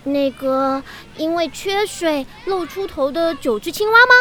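High, bright, plucky schoolgirl. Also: unhurried. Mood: decisive. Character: plucky, confident schoolgirl, cheeky and decisive. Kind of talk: children's audio drama acting.

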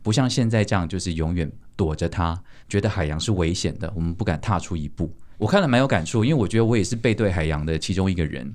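A man's voice: deep voice, animated, fast talker, few pauses, a little mellow. A man with a Taiwanese Mandarin accent talking casually and reflectively as a podcast host. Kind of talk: casual conversational talk.

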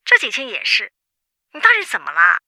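A woman's voice: medium pitch, wildly swooping pitch, a little fast, bright, forceful tone, no room sound, clean studio sound, exaggerated voice. An actress playing a worried young female best friend, insistent and suspicious, in a radio drama.

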